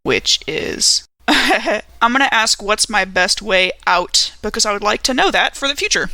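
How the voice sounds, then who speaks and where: A woman's voice: mid-range voice, lively intonation, unhurried, sharp tone, dry recording. A woman chatting casually as a player in a tabletop game, thinking aloud as she describes what she does.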